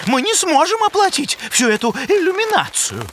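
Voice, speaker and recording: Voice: very high voice, wildly swooping pitch, normal speed, a little hiss or hum, full character voice. A fussy, formal cartoon animal. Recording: studio dub with loud music.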